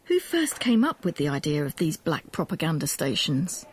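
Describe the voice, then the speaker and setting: A woman presenting a documentary in measured, articulate scripted narration. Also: deep voice, big pitch swings, slightly rough.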